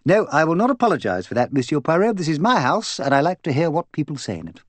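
An imperious, opinionated English woman holds forth in an audiobook, acted out by a male narrator. The pitch is lifted and swings widely as this voluble gossip emphasises points. The sound is dry. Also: very animated, fast talker, no echo. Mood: indignant, bossy. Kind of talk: audiobook character dialogue.

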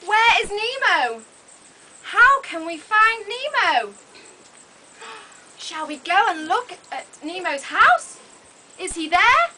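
A woman's voice: very high voice, big pitch swings, slow, pauses often, bright, forceful tone. A woman telling a story live to young children in short simple phrases, exclamatory, with questions to her audience. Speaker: puppet show narrator. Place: open-sided thatched hall with audience of children. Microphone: camera microphone from audience. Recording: noisy open-air hall recording.

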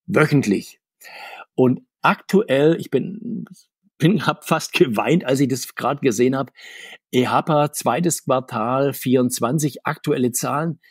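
Husky-voiced man chatting in an interview. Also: medium pitch, a little slow, no echo, studio quality. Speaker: guest, comics author. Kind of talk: conversational podcast interview.

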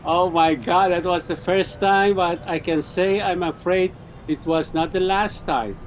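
A man's voice: high-pitched, fairly even intonation, unhurried, slight background noise. A man asking questions as a journalist in a conversational interview.

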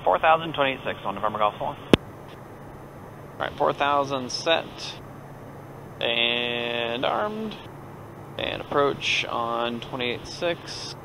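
American pilot over clear cockpit noise. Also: male voice, mid-range voice, slow pace, pauses often. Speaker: pilot narrating a flight. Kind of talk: casual cockpit vlog mixed with clipped radio calls. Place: cockpit of a small turboprop plane in flight. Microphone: aviation headset. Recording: steady aircraft drone behind headset audio.

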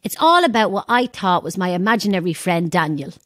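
A woman's voice: fairly low pitch, big pitch swings, brisk, a bit bright, dry recording, studio quality. An actress with a Dublin accent playing a down-to-earth, gossipy woman in an audio drama, frank and wry as she chats. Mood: chatty, wry. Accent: Irish, Dublin. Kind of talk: audio drama, casual banter and storytelling.

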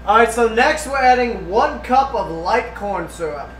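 A man's voice: very high voice, lively intonation, measured pace. A man hosts a casual cooking stream, talking through each step and swearing jokily. He sounds roomy and a little distant from the mic, with faint noise around him. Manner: profane, jokey, talks through steps. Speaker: livestream cooking host. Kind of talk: casual cooking livestream commentary. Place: indoors in a home kitchen. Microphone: distant room mic.

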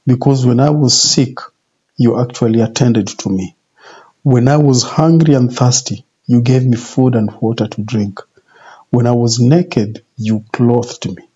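Husky, mellow middle-aged man preaching. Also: medium pitch. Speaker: religious video presenter. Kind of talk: informal sermon, retelling scripture. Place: small indoor office. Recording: muffled, dull home video audio.

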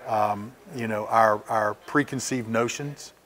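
Middle-aged man, halting and measured. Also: fairly low pitch, fairly even intonation, fairly bright tone. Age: 50s. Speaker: police captain, crisis team coordinator. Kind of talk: interview remarks.

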